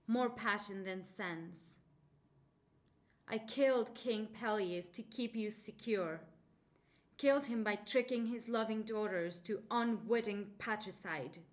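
A woman performing a bitter, furious scorned queen in a loud, declaiming, sarcastic dramatic monologue. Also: mid-range voice, slow pace, natural voice.

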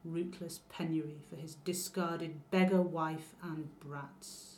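A woman's voice: low-pitched, fairly even intonation, slow pace, darkish tone, slight background noise. A woman from Lancashire, speaking with a Lancashire accent, voicing a bitter, vengeful wife of myth who was betrayed by her husband, with sarcastic, declaiming lines. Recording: roomy echo.

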